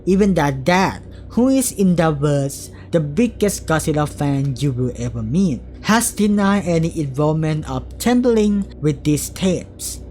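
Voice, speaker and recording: A woman's voice: low voice, lively intonation, unhurried, hardly any pauses, warm tone, slight background noise. A woman reading scripted analysis as the narrator of a video essay.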